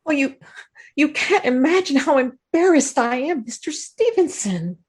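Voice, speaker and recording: An actress playing an embarrassed, polite housewife hostess in a radio comedy, speaking in a fretful way. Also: female voice, high voice, big pitch swings, unhurried. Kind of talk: old-time radio comedy acting.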